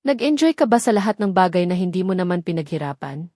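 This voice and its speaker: A woman's voice: on the low side, sing-song, fast talker, dark tone, no echo, clean studio sound. A Filipino-accented woman voicing a desperate, defiant young male heir in a narrated story, intense and urgent. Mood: mocking.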